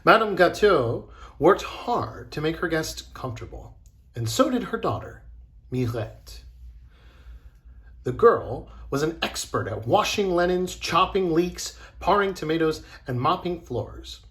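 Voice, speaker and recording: An encouraging, expressive man teaching a children's drama lesson and narrating a storybook. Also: on the high side, unhurried.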